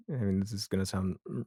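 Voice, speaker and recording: A man's voice: sits low, fairly even intonation, moderate pace, mellow, slightly rough, no room sound, studio quality. A man hosting a podcast, chatting casually about television in a candid, wry and emphatic way. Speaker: podcast host.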